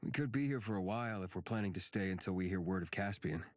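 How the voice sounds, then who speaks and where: A man's voice: deep voice, quick pace, lightly acted. A man voicing a blunt, dryly teasing companion in a visual novel game. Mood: matter-of-fact. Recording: music under the voice.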